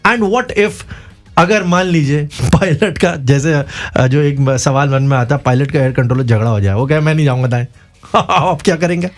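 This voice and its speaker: A man's voice: a little high, moderate pace. A man with an Indian accent hosting a conversational interview, playful and teasing with effusive reactions. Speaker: talk show host interviewing guests. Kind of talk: conversational Hindi-English interview.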